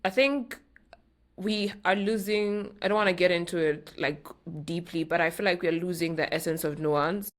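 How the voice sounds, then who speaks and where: A woman's voice: on the low side, steady tone, normal speed. A Kenyan woman hosting a podcast solo, talking casually and candidly to her listeners in a playful way. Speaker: podcast host.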